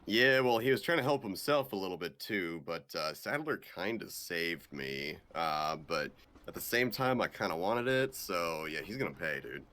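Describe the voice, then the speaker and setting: Man acting as gruff action agent, very low pitch, bright edge, cocky, deadpan, dim-witted, comedic game dub. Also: normal speed. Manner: deadpan, hesitant, swearing banter. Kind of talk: comedic fan dub of a game visual novel.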